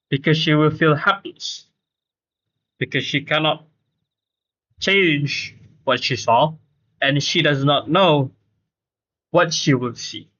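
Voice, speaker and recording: A man's voice: fairly high pitch, a bit bright, dull audio. A man streaming a game with casual live commentary, explaining his points emphatically and repeating himself.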